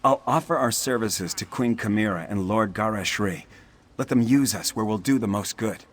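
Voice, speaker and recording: An actor playing a gruff, dutiful military officer in a fantasy game, with a brisk, businesslike delivery. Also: male voice, fairly low pitch, natural voice. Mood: brisk, proud.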